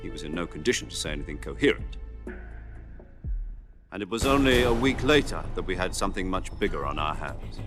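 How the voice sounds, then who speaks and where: An actor playing a seasoned male spy commander, giving a mission briefing in measured, deliberate phrases as in a dramatic video game. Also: sits low, very animated, over music. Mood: grave.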